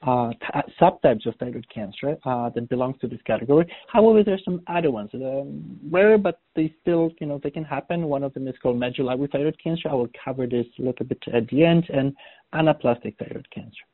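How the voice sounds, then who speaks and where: A man's voice: medium pitch, fast talker, no echo. A man from Poland with a Polish accent gives an informal medical webinar talk as an oncologist, often rephrasing as he goes. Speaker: oncologist presenting. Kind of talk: webinar presentation. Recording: thin phone-like webinar audio.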